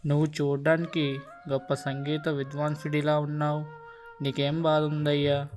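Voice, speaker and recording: A man voicing a kindly, measured herdsman who gives advice in a children's cartoon. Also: fairly high pitch, completely flat delivery, darkish tone, faint music behind, close to an everyday voice. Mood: advising. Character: kindly advising male herdsman, calm helper. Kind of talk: children's cartoon story dubbing.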